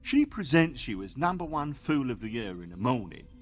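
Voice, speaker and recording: A man's voice: medium pitch, sing-song, moderate pace, soft background music. A man playing an aggrieved, scornful office worker in a radio play, speaking reproachfully. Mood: scornful, incredulous. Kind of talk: radio play monologue acting.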